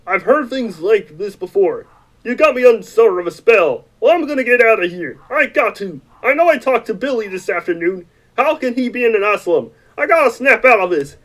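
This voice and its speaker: A man's voice: high voice, expressive, brisk, a bit bright, rough recording. A man voicing a frantic puppet frog who plays a bewildered small-town family man in a comic radio play, with a thin, strained, pleading, folksy delivery. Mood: distressed.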